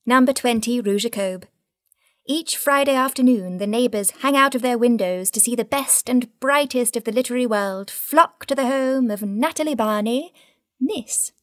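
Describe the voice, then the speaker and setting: A woman's voice: on the high side, moderate pace, no room sound, clean studio sound, lightly acted. An actress playing an impish, gossipy woman friend who narrates in an audio drama with an arch, knowing manner.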